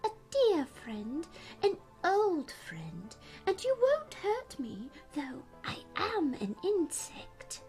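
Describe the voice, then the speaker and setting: A woman voicing a tiny, sad insect with a very small, high voice in a storybook reading. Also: wildly swooping pitch, takes it slowly, darkish tone, faint music behind, heavily acted. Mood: wistful.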